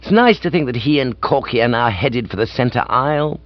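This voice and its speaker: Man acting upper-class English gentleman, excitable, exclamatory, pompous, lively swooping intonation, dry slightly dull recording. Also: medium pitch, a little fast, no echo, lightly acted. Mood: breezy, pleased. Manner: breezy, self-satisfied, exclamatory. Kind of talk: comic audiobook character.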